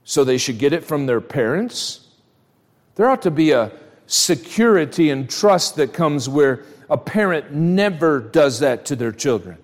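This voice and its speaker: High-pitched American male preacher in echoing hall. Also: sing-song, unhurried. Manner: emphatic, folksy direct address to listeners, repeating phrases. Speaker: pastor. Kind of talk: sermon. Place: large church hall, live service. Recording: long hall reverb on voice.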